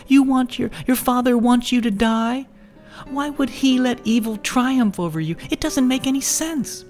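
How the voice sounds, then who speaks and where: Man putting on an anguished young woman, high strained voice, pleading, defiant, storybook reading. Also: measured pace, darkish tone, faint music behind. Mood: distraught.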